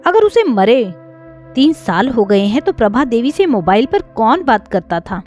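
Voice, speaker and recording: A suspicious, gossipy elder of an old-age home questions things in a story , acted by a woman narrator. The intonation is animated and jumps about. Light music sits in the background. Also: on the high side, measured pace. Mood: puzzled.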